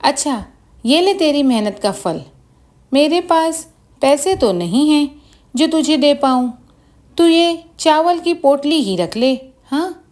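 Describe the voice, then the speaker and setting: A woman's voice: high voice, lively intonation, takes it slowly, darkish tone, voice pushed up. A woman voicing a stingy young village woman in a children's story, calculating and condescending beneath a kindly front. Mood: falsely kind.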